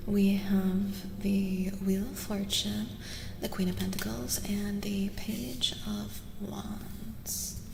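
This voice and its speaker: A woman streaming soft-spoken ASMR tarot readings, chatting in an unhurried, rambling way and often slipping into whispers. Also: mid-range voice, level tone. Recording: roomy reverb with clear background noise.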